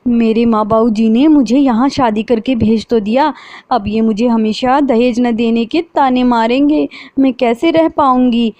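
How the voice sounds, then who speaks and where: A woman's voice: on the high side, steady tone, measured pace, darkish tone, no echo, lo-fi sound, pitched above the performer's own voice, lightly acted. A woman playing a pleading, wronged young woman. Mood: anxious. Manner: pleading, tearful. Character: mistreated gentle young woman, tearful daughter.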